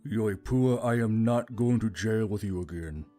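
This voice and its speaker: A gruff male half-orc from a fantasy audiobook, played by a man. He growls with weary exasperation, over soft background music. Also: on the low side, a little slow, lightly acted. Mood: exasperated.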